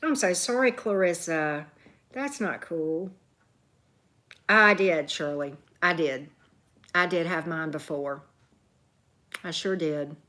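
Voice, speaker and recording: A woman with a deep voice, hosting a tarot livestream as casual chat, greeting viewers by name and often tagging on "right?". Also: slow, long pauses, sharp tone. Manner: chatty, keeps asking "right?", greets commenters by name.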